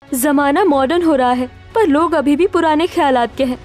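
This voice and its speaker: A spirited young modern woman with schemes in mind, from a story drama, acted by a woman. Her voice is high and her delivery expressive. Faint music plays behind her. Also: normal speed, natural voice. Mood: indignant. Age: young adult. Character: spirited, scheming young modern single women seeking housing. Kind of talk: acted Hindi story dialogue.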